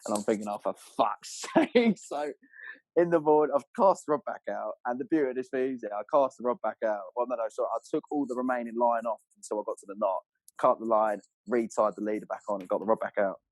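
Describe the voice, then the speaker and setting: A man tells stories at a fast clip in a casual, matey style. His speech is full of slang and swearing, his pitch sits in an ordinary male range, and the sound is dry and close. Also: darkish tone, dry recording, rough recording. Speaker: podcast guest telling fishing stories. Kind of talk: casual animated storytelling.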